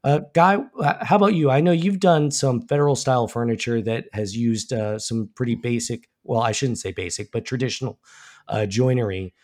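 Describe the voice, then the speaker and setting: A man's voice: mid-range voice, normal speed, a little mellow. Congested man losing his voice. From Alabama. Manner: casual, many ums and restarts. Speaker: woodworking podcast co-host. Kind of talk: casual podcast chat.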